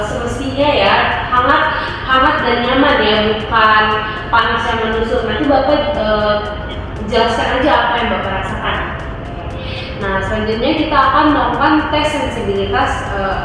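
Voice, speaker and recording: A young woman gives a halting, instructional clinical demonstration, explaining steps to a patient and viewers. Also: a little high. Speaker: physiotherapy student demonstrating a treatment. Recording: very echoey room, music behind.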